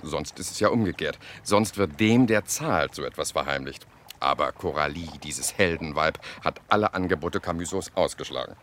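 A worldly, cynical man of the Paris press and gaming tables. His voice sits low and his delivery is mocking and sardonic, in radio play style. There is slight noise in the recording. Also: animated, moderate pace, a bit bright. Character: cynical, worldly male journalist and gambler, Parisian. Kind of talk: German radio play acting. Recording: noisy radio drama recording.

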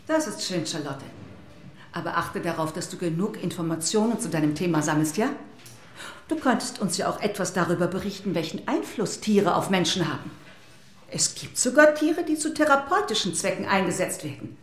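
A woman playing a patient, gently prodding schoolteacher who instructs her pupils in a children's radio play. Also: on the low side, expressive, lightly acted. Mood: patient, firm. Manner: measured, instructive, checking in with pupils. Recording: echoey, roomy classroom sound.

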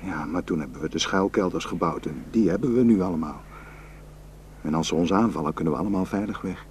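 A man's voice: low-pitched, brisk, a little hiss or hum, close to an everyday voice. An actor in a radio drama playing a protective, suspicious, hot-tempered village herdsman and husband, scornful and impulsive. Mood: calm, reassuring.

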